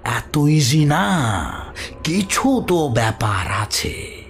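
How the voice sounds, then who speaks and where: Evil gloating villain for horror audio story, slow n menacing, pitch all over the place, repeats words. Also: male voice, sing-song, faint music behind, exaggerated voice. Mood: threatening, impatient. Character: gloating, ruthless male villain, kidnapper obsessed with occult secrets. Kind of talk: Bengali horror audio drama acting.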